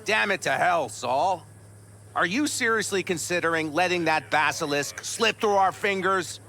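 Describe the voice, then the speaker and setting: Sharp, high-voiced exasperated man. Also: expressive, brisk, slight background noise. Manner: exasperated, confrontational. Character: frustrated, hot-headed nomad comrade, pleading.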